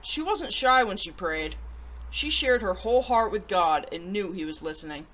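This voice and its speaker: Expressive woman reading to children. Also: mid-range voice, moderate pace, low-quality recording. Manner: animated, expressive delivery aimed at children. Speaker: host of a children's story show. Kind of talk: storybook read-aloud for children.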